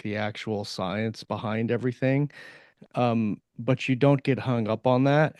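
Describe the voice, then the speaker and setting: A man's voice: mid-range voice, darkish tone, dry recording. A man co-hosting a podcast, chatting casually in an informal, rambling way. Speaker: podcast co-host and author.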